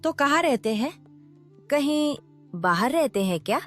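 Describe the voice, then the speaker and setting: A woman voicing a curious village woman who asks prying questions in a high, inquisitive voice over faint music. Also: lively intonation, measured pace, a bit bright.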